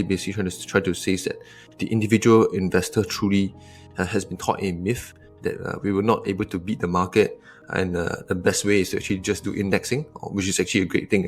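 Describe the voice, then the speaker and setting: A man from Singapore, answering interview questions thoughtfully, often restarting and adding tag questions. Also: sits low. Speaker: investor guest.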